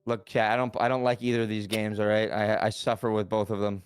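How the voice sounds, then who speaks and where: A man's voice: fairly low pitch, level tone, fast, clear, resonant tone, no echo, clean studio sound, faint music behind. A man in his late twenties, a podcast guest, talking casually with slang and lots of fillers. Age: 20s.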